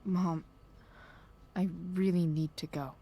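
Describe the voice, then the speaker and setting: A woman's voice: fairly low pitch, steady tone, slow pace, warm tone. A woman with an American accent voicing a headstrong, irritable teenage girl in a video game, terse and slangy. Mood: impatient. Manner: muttering to herself, terse, slangy. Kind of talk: naturalistic game cutscene acting.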